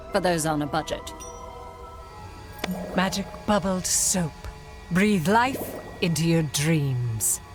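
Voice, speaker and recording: Lady doing a mystical fortune teller hawking treasures, grand drawn-out words, cartoon game, soft music behind. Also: fairly low pitch, natural voice. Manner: grandiose, salesy, drawn-out delivery. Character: theatrical, mysterious female fortune teller and peddler. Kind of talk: cartoon game cutscene acting.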